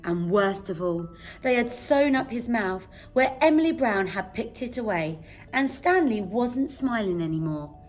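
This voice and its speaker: A woman reads a children's storybook at an unhurried pace. Her delivery is dramatic and highly animated, with deliberate pauses. Soft music plays behind her. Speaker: storyteller, teacher reading a bedtime story. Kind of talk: expressive children's storybook reading.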